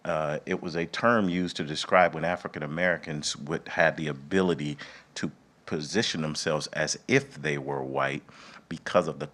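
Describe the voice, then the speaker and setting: Very deep-voiced man hosting. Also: fairly bright tone. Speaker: event host. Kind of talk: informal remarks to an audience.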